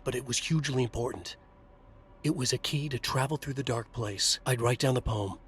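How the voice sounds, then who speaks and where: A man's voice: medium pitch, fairly bright tone, natural voice. Brooding, haunted male writer played by an actor. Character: haunted, trapped male writer narrating his nightmare. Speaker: video game protagonist. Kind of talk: moody scripted noir narration.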